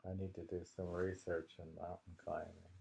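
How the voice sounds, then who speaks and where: Male poetry reader, low-pitched and husky, unhurried and musing, with informal asides between verses. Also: monotone, dark tone. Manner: halting, musing, thinking aloud. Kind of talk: poetry reading with informal commentary.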